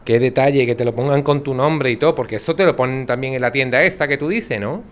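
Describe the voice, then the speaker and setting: A man's voice: mid-range voice, quick pace, natural voice. Audio-drama grandmother, played by a man: elderly, Sevillian accent, folksy, affectionate, nosy in a kindly way. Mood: curious, admiring. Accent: Sevillian Andalusian. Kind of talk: naturalistic audio drama dialogue.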